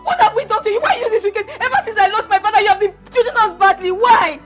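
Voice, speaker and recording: An actress playing a young woman who cries, pleads and shouts at her uncle for money. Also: extremely high pitch, fairly even intonation, moderate pace, faint music behind. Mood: accusing. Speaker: actor.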